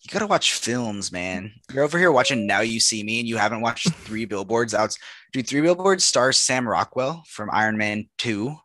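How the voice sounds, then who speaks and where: A man's voice: mid-range voice, animated, moderate pace, few pauses, pushed and bright, no echo. A young man co-hosting a podcast, telling rambling jokey stories in casual chat with lots of fillers. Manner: rambling storyteller, joking, with frequent fillers. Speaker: podcast co-host.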